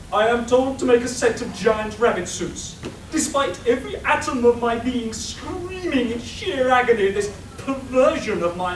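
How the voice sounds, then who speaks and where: A woman playing a vain, exasperated clockwork machine tailor in a live radio play, pompous, melodramatic and self-pitying. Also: a little high. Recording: echoey hall, distant mic, faint noise.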